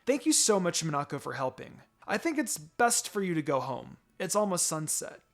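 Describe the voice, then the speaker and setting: A man voicing a kind, motherly village woman with a gentle, caring manner in a story reading. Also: fairly high pitch, sing-song, moderate pace, vocal fry, heavily acted. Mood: grateful, caring.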